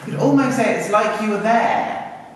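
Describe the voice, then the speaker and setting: A man's voice: high voice, normal speed. A man narrating a story live, chatting informally and coaxing children with questions. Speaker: narrator and puppeteer. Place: live puppet show in arts centre. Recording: echoey hall, distant mic, faint noise.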